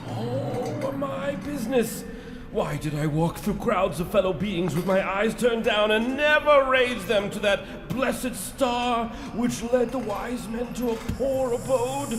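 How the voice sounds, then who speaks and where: A man acting a tormented, stern ghost of a dead businessman in a live radio drama, with theatrical, drawn-out, wailing delivery. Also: very high-pitched.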